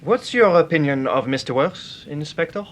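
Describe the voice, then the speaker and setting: An actor playing a precise, dissenting investigator in a radio mystery, speaking formally and deliberately. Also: male voice, a little high, animated, natural voice. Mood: inquiring. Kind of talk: radio mystery drama acting.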